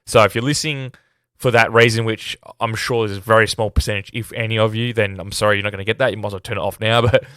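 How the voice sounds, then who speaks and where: A man's voice: on the low side, fairly even intonation, fast talker, pushed and bright, smooth, no echo, studio quality. An Australian man hosting a casual film review podcast while sick, talking in a rambling, self-deprecating, hesitant way. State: sick, voice at risk of giving out.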